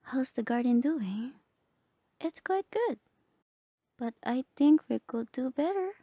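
A woman playing a gentle, lovestruck bard companion in a romantic ASMR roleplay, speaking softly and tenderly, often half whispering. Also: sits high, expressive, slow, dry recording, muffled recording, full character voice. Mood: pleased, tender. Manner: tender, hesitant, polite.

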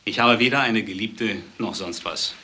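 An actor playing a cold, domineering doctor, curt and menacing, in a German crime radio drama. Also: male voice, on the low side, normal speed, sharp tone. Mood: guarded irritation. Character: cold, domineering male doctor, threatening husband.

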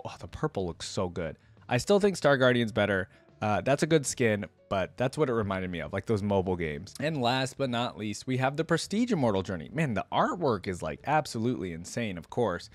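Young adult man, animated intonation, dry close-mic sound, slangy casual streamer commentary. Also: lowish voice, no room sound. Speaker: reaction video host. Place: indoor streaming setup. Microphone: close desk microphone with headset on.

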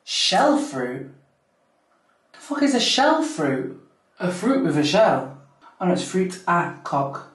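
A young woman with a deep voice, chatting to camera at home in a gushing, giggly way. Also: very animated, slow pace, long pauses. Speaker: vlogger. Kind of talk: casual chat to camera. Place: home bathroom at night, then a bright kitchen. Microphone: camera on a low stand. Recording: echoey room, camera a bit far.

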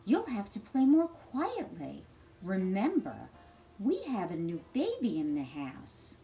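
A woman voicing a gentle mother calling to her young son in a storybook, coaxing and sing-song. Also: medium pitch, wildly swooping pitch, slow pace, faint music behind, exaggerated voice. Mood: gently scolding.

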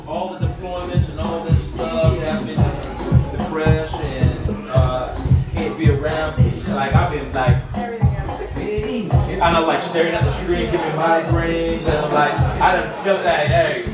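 A man's voice: a little high, slow, reverberant, over loud music. A man chatting casually and joking as a guest at a house party. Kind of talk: casual banter.

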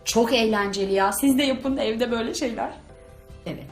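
A woman's voice: fairly high pitch, normal speed, reverberant, faint music behind. A woman hosting a video, chatting casually and playfully, with exclamations during a makeup challenge. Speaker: video host.

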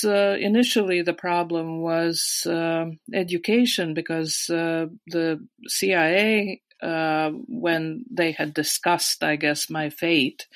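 A woman's voice: low voice, no echo. A woman in her sixties with a Latvian accent, recalling her past in an interview, hesitant with many fillers and restarts. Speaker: guest. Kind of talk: interview recollection.

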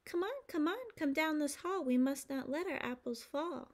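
A woman in her thirties reading aloud to young children as a preschool teacher, phrasing simply and clearly. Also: high voice, moderate pace. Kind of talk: children's storytime read-aloud.

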